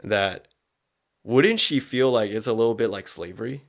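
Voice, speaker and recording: A man's voice: fairly low pitch, normal speed, vocal fry. Man giving casual, sweary podcast commentary. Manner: slangy, profanity-laden speech. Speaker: podcast host.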